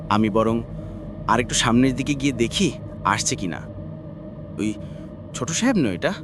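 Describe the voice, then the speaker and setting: Anxious loyal village uncle, cartoon male actor: animated, deferential, music underneath. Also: mid-range voice, natural voice. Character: worried, deferential village uncle looking after young master. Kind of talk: cartoon horror story voice acting.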